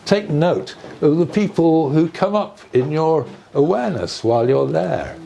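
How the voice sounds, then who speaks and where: An older man giving an informal explanatory talk in a discursive, conversational way, with hesitant pauses and small asides. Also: on the high side, animated, warm tone. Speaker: speaker on earth energies and ancient sites. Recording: live talk with clear background noise.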